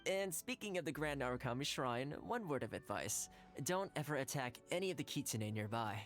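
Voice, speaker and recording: A woman's voice: a bass voice, animated, fairly quick, soft background music. A woman voicing a cheerful, easygoing young companion in a video game, chatty, friendly and considerate. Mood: relaxed, advising.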